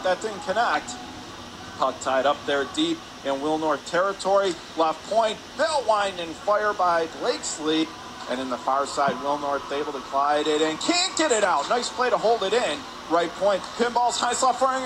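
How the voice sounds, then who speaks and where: A man calling live radio hockey play-by-play in clipped phrases from an ice rink. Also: high voice. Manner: clipped rapid phrases, rising on shots. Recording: clear background noise, arena ambience.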